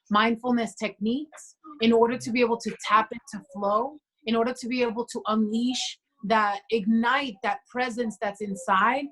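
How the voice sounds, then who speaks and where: A woman in her forties, a podcast guest, talking earnestly and reflectively with quiet conviction. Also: fairly high pitch, low-quality recording. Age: 40s. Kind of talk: casual conversation.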